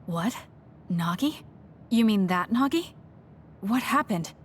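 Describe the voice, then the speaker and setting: A woman voicing a composed, blunt young female soldier in an anime game dub, measured and reserved. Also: medium pitch, animated, a little hiss or hum. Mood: surprised, concerned. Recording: music playing behind the voice. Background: game background music.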